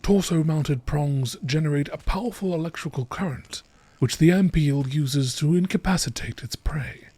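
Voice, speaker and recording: A man giving a measured, informative scripted narration in the style of a nature documentary. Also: on the high side, a little mellow, slightly rough. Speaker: reader of video game text.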